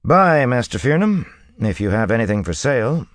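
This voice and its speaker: Man playing a wry haggler, raised animated voice. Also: medium pitch, moderate pace, no echo, studio quality, pitched above the performer's own voice, natural voice. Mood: wry, bantering. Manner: doubtful, grumbling bargainer. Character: wry, haggling young male horse buyer. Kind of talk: audiobook character dialogue.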